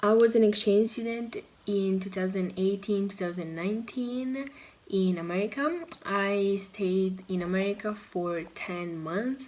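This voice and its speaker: An eighteen-year-old Italian woman with an Italian accent, chatting casually about being an exchange student and pausing to search for words. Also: mid-range voice, a little mellow, low-quality recording. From Italy. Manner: unhurried, pauses to search for words. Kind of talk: casual vlog chat.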